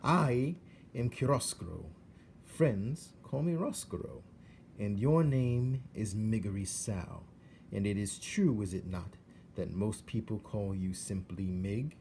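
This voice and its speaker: A scheming storybook rat played by a man flatters in ornate, over-polite phrases. He coaxes slowly, with a mellow tone and very lively pitch. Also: gravelly, slight background noise, exaggerated voice. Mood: flattering. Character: smooth, scheming male rat, courtly flatterer. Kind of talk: storybook character voice.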